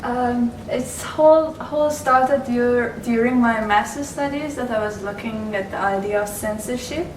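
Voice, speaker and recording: A woman's voice: fairly high pitch, a little slow, hardly any pauses, slight background noise. Distant woman, echoey studio. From Iran. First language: Persian. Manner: hesitant, searching for words. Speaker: artist interviewee. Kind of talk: casual interview.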